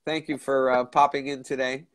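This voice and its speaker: Older male host on a video call, stuffy-nosed, rambling and halting. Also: mid-range voice, flat delivery, dry recording. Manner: rambling, halting, many restarts. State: stuffy nose from a cold. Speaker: show host. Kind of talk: casual video call chat. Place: indoor room at home.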